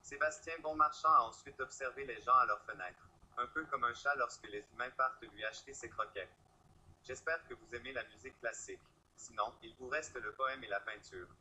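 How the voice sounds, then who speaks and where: A man's voice: medium pitch, a little fast, sharp tone, slight background noise, poor audio. A man voicing a pompous, wry talking cat who loves poetry and presents it in a scripted style. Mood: haughty, playful. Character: haughty talking poet cat, playful, male, poetry lover.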